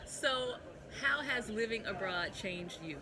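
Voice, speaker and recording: Playful woman interviewer with lively pitch. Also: slow, a crowd in the background. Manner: playful, teasing, laughs often. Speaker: host, interviewer. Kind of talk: casual interview chat. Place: outdoors on a city street.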